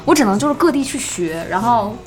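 A woman's voice: a little high, expressive, fairly quick, faint music behind. A woman host with a standard Mandarin accent, chatting casually and responding eagerly. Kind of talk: casual chat.

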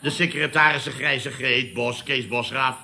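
Blustering, self-important boss, piercingly bright. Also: male voice, medium pitch, steady tone, normal speed. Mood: outraged. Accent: Netherlands Dutch. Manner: blustering, repetitive, pompous catchphrases. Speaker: company boss and club chairman. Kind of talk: 1970s radio comedy acting.

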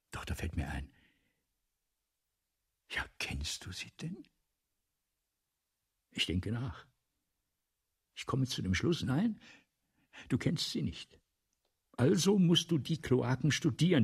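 Husky, gravelly, obsessive writer. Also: male voice, mid-range voice, full character voice. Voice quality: husky, gravelly, often breaking into breathy whisper. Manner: declamatory, halting, self-correcting, repeating phrases. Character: obsessive, vain male writer Balzac, rambling and forgetful. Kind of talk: theatrical German radio play monologue.

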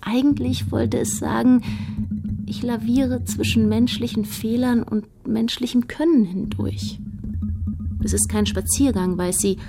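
A woman narrating a literary radio play in a measured, poetic delivery. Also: on the high side, gravelly, over music.

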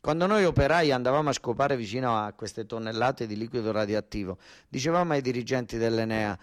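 A man's voice: mid-range voice, brisk. Insistent southern Italian storyteller, male. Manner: insistent, repeating words for emphasis. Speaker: stage actor and storyteller. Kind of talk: theatrical narrative monologue.

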